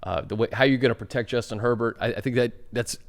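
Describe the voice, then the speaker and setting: A man's voice: mid-range voice, fairly even intonation, fast talker. A man co-hosting a sports talk show, discussing football casually and stressing his key points in a conversational way.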